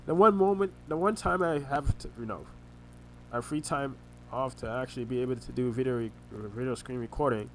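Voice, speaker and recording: A man recounting family arguments in a rambling monologue, halting and often restarting his words, his voice congested and his throat sore. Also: mid-range voice, a little hiss or hum, low-quality recording. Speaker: vlogger recounting family conflicts. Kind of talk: rambling personal monologue over a screen recording.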